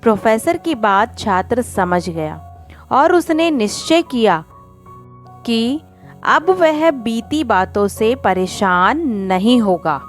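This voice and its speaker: Slow, high-pitched woman storyteller over music. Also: lively intonation. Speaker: storyteller, channel narrator. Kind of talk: scripted moral-story narration.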